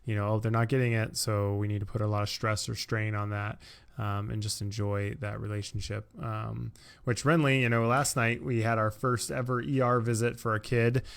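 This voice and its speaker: A man's voice: lowish voice, little pitch movement, normal speed, creaky, smooth. An American man in his thirties hosting a podcast in an informal, easygoing, conversational way. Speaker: podcast host. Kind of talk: casual conversational chat.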